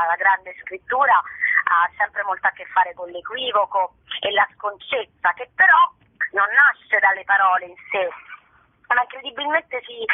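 A woman's voice: mid-range voice, no room sound. A woman chatting spontaneously in an interview as an actress, digressing and searching for words, speaking over the phone. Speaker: actress and artistic director interviewed about her show. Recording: thin, band-limited phone line.

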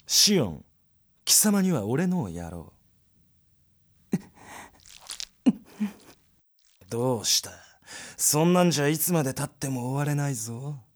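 An actor playing a cruel, overbearing man in authority in an audio drama, sneering and rough-spoken. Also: medium pitch, big pitch swings, moderate pace. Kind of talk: Japanese audio drama acting.

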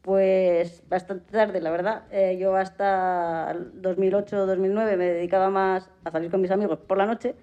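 A woman answering casually as an interview guest, halting and correcting herself. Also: medium pitch, level tone, warm tone. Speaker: interview guest, adaptive athlete. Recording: dull sound, faint music behind.

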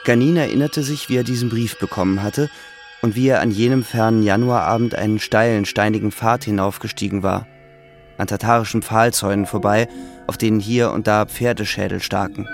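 A man narrating a literary radio drama in a measured, reflective way. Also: lowish voice, steady tone, soft background music.

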